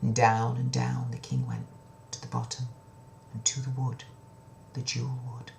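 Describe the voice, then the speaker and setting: A woman's voice: rumbling low, very animated, moderate pace, gravelly, darkish tone, echoey room, a little hiss or hum. A woman telling a children's story in a dramatic, theatrical way, repeating words for suspense.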